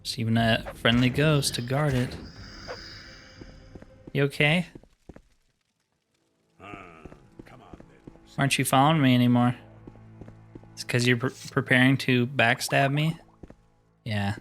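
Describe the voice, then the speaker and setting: A man's voice: mid-range voice, slow pace, pauses often, a bit bright, soft background music. A man giving casual, mildly exasperated commentary while playing a video game, thinking aloud as he goes. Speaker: gaming video host.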